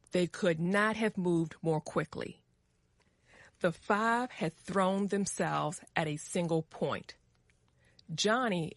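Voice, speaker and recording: An American woman narrates a scripted story for language learners. Her voice is fairly low and very slow, every word carefully set out, in a dry recording. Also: no echo. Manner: measured, carefully enunciated.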